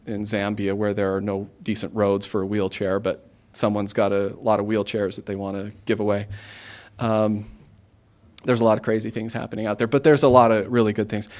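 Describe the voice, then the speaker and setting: An American man in his thirties speaks informally, as a guest addressing students. His voice sits a little low, and his delivery is conversational and halting, with frequent self-interruptions and restarts. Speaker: guest speaker, government aid regional coordinator. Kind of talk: informal career talk to students.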